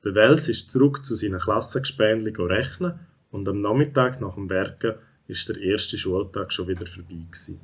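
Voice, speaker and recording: A man's voice: on the low side, normal speed, few pauses, slightly rough. A man with a Swiss German accent narrating a scripted children's story.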